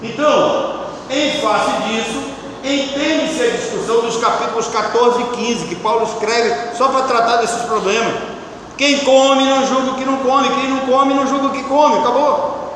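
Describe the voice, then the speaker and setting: A man's voice: extremely high pitch, fairly even intonation, moderate pace, fairly bright tone, slight background noise. A man from Brazil, a pastor teaching a sermon in a conversational way and often checking in with his listeners. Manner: conversational preaching, frequent "tá?" checks. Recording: echoey church hall from far off.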